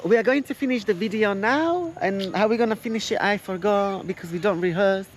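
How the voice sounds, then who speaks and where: A woman's voice: mid-range voice, a little hiss or hum. A woman chatting casually as a travel vlogger, enthusiastic and filling her talk with "like" and "literally". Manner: fast, enthusiastic, many "literally"s and "like"s. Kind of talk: casual chat. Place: outdoors.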